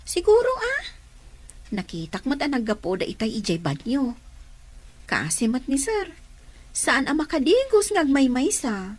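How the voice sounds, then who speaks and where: A woman's voice: sits high, big pitch swings, unhurried, pauses often, heavily acted. A woman acting in a radio drama as a respectful, encouraging female therapist, with an Ilocano accent. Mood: chatty, animated. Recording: music behind the voice.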